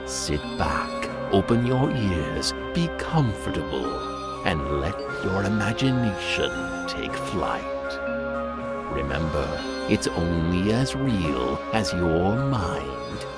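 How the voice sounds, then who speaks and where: A man playing the storyteller host of an eerie anthology series, narrating in a dramatic, deliberate, arch manner. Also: sits low, sing-song, exaggerated voice. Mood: ominous welcome. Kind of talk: theatrical scripted anthology narration. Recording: voice under loud music.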